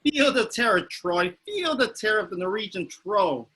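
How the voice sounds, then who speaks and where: A man's voice: sits high, animated, normal speed, sharp tone, husky, full character voice. A man playing a jealous, boastful older man with a crude streak in an amateur sitcom table read. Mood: menacing, needling.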